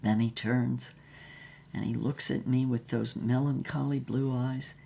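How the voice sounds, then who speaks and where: A woman's voice: a bass voice, fairly even intonation, unhurried, gravelly, dark tone, husky, exaggerated voice. A woman playing a wistful, heartbroken former actress who narrates her lost love in an audio drama with dramatic, lingering melancholy. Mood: tender, sorrowful.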